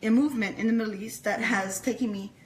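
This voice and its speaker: Woman, raised pitch, interview guest, earnest storytelling, emphatic repetition. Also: steady tone, quick pace, slight background noise. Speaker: interview guest, fan club founder.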